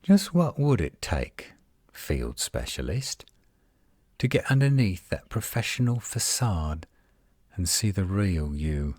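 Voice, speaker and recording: A man playing a cocky, sardonic werewolf guardian in a roleplay audio, teasing and flirtatious, with a husky, drawling delivery. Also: deep voice, sing-song, slow, darkish tone, no room sound, clean studio sound, full character voice. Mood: teasing, seductive. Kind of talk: roleplay audio acting, one side of a dialogue.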